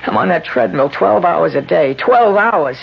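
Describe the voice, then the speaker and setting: An actor playing an anguished, broken, enslaved man, weak and bitter, with a strained creaky voice, in a 1970s radio drama. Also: fairly high pitch, lively intonation, unhurried, heavily acted. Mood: agonized bitter. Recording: dull, noisy 1970s radio recording.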